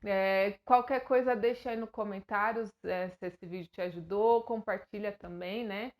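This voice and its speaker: Brazilian woman vlogger, earnest and halting, mid-range voice, lots of fillers. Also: medium pitch, steady tone. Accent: Brazilian Portuguese. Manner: hesitant, many fillers and restarts; earnest, emotional. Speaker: YouTuber. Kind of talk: personal vlog to camera.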